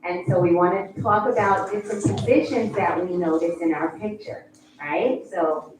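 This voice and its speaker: Echoey, distant low-voiced woman teacher. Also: moderate pace, music in the background. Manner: patient, coaxing questions to young students. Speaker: kindergarten teacher. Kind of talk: classroom demonstration. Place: school board meeting room. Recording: echoey room, distant mic, background noise.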